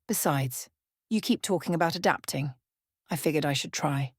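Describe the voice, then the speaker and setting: A woman's voice: low-pitched, normal speed, breathy edge, dry recording, studio quality. A woman voicing an ancient female vampire in a bedtime story, sly, playful and teasing. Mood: playful, curious. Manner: teasing, mischievous.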